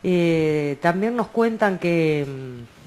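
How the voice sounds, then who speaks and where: Slow-paced woman radio co-host. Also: lowish voice, lively intonation, darkish tone. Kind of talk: casual music commentary.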